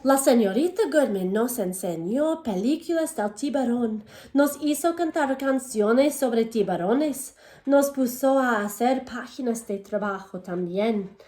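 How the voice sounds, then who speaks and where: Woman teacher, bilingual read-aloud for young kids, slightly high voice, echoey room. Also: animated, warm tone. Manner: reads in English then Spanish, pauses to ask children questions.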